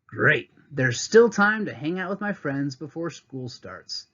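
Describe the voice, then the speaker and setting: A man reads a picture book aloud to young students in a lively, sing-song way, his voice riding high and swooping widely. He breaks off to talk to the class as their teacher. The sound is a little dull and lo-fi, like a webcam at a desk. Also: unhurried. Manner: expressive storybook reading with teacherly asides. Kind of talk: read-aloud lesson for children.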